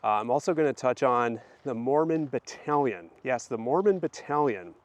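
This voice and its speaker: A man hosting a casual walk-and-talk vlog, his voice hoarse and deepened by a recent illness, rambling with many fillers and restarts. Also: expressive, normal speed, dull audio. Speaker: channel host.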